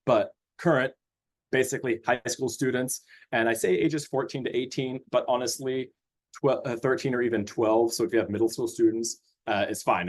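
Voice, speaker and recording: Man presenting a curriculum over a video call. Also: medium pitch. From Austria. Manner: hesitant, many fillers and restarts, friendly. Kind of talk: webinar presentation.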